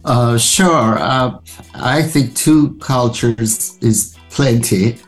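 Slow-spoken man in his sixties. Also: medium pitch, soft background music. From Iran. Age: 60s. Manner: slow and thoughtful, with fillers. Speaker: guest artist. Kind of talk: casual interview talk.

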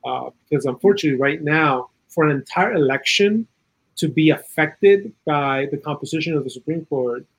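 A man from South America giving earnest, opinionated political commentary in a conversational way, with a husky voice and plenty of "you know". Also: a little high, normal speed, rough recording. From South America, Chile. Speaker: interview guest, political and legal commentator.

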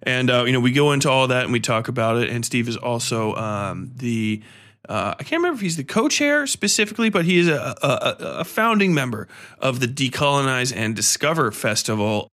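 A man's voice: medium pitch, very animated, a little fast, a bit bright. A man, sounding middle-aged, hosts a podcast with casual, joking, freely swearing banter. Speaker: podcast host.

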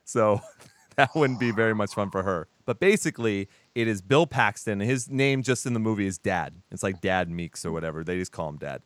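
A man's voice: on the low side, expressive, normal speed. A man from Texas co-hosting a podcast, chatting casually about a film with laid-back, profane asides. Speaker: podcast co-host.

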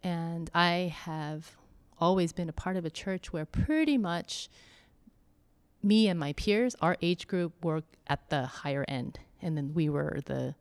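A woman talking slowly and thoughtfully about faith and church life, pausing often with soft fillers. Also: fairly low pitch, clear, resonant tone. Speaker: church member interviewee. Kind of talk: reflective conversation.

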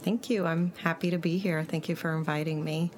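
Deep-voiced woman from Puerto Rico, measured. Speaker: psychologist, interview guest. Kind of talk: conversational interview answers. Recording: clear steady background noise.

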